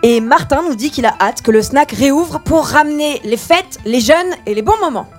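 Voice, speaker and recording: High-voiced woman doing a chirpy fake news bulletin, faint music behind. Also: animated, normal speed. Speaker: news presenter. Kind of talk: mock radio news bulletin.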